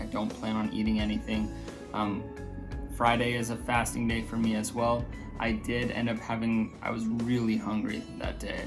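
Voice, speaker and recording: Man, slightly raspy, lowish voice, hesitant casual vlog chat, guitar music behind. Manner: hesitant, many fillers and restarts. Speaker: vlogger.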